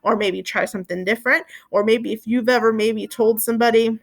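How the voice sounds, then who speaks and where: A woman's voice: fairly high pitch, steady tone, brisk, vocal fry. A woman teacher reading aloud to young children, addressing them as friends and prompting them with questions. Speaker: elementary school teacher.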